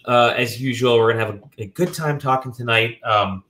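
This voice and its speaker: A man hosting a casual video game podcast from home, sick and a bit nasal, joking and clearing his throat often. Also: medium pitch, a little fast, sharp tone. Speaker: podcast host. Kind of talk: casual chat. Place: home room.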